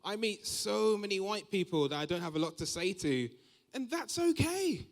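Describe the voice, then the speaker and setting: A man's voice: sits high, fairly quick, vocal fry. A young man with a London accent doing live stand-up, telling observational anecdotes conversationally and acting out quoted remarks. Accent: London English. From Southeast London, England. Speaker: stand-up comedian. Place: large comedy venue, on stage. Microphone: stage microphone. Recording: reverberant hall, live stage microphone.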